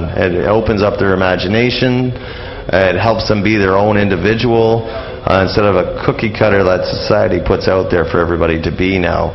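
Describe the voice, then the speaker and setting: An older man speaking as a guest in a casual radio interview, in a halting, rambling way with frequent hesitations. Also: sits low. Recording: dull lo-fi radio interview audio.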